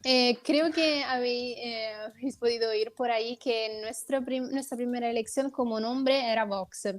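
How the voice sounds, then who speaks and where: A woman's voice: a little high, fairly even intonation, moderate pace. Italian-accented woman explaining patiently. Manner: explanatory, with frequent hesitations. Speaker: guest politician. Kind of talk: interview answers.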